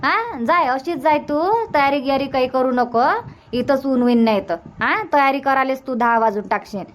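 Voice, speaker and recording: Casting: woman as older village mother-in-law, cartoon, high-pitched, bossy, Varhadi accent, always instructing. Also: natural voice. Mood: bossy, urging. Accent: Varhadi Marathi from Vidarbha. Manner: brisk, instructing, repetitive. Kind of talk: cartoon comedy dialogue.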